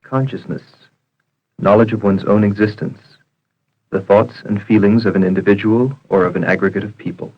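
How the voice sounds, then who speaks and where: A man's voice: lowish voice, lo-fi sound, a little hiss or hum. A man reading formal, scripted narration for an educational film.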